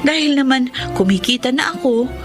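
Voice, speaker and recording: High-pitched sweet, polite young woman, cheerful tour guide in radio drama. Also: expressive, normal speed, music in the background. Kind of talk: Filipino radio drama acting and narration.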